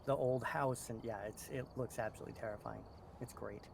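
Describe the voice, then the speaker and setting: A man's voice: mid-range voice, steady tone, fairly quick, creaky, a little hiss or hum. A man co-hosting a podcast, chatting casually and informally with enthusiasm. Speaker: podcast co-host. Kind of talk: casual chat about horror games.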